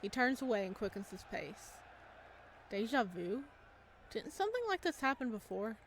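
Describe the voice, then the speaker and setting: A woman's voice: on the high side, very animated, normal speed, slight background noise. Woman reading a visual novel aloud. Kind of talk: reading story text aloud with asides.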